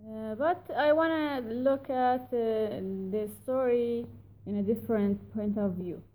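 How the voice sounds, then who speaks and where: A woman giving a reflective spoken reply, talking in a halting, careful way and pausing often to find her words. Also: fairly high pitch, warm tone, low-quality recording. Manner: reflective, thoughtful questioning. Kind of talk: recorded spoken reply about a story.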